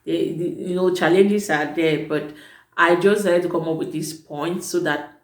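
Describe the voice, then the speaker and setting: A woman's voice: lowish voice, moderate pace. Chatty woman vlogger in an echoey room. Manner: chatty, emphatic, often corrects herself mid-sentence. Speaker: vlogger advising prospective students; teacher at an international school. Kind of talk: casual advice vlog.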